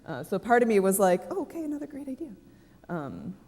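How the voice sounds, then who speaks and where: A woman's voice: medium pitch, sing-song, fairly quick, a little mellow. A woman speaking on a conference panel, chatty and self-deprecating. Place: conference stage. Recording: reverberant conference hall.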